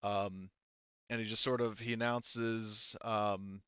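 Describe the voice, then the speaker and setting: Man, game master, improvised narration, casual, halting, dry slightly lo-fi recording. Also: lowish voice, level tone, fairly bright tone, smooth, no room sound. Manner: halting, casual, thinking aloud.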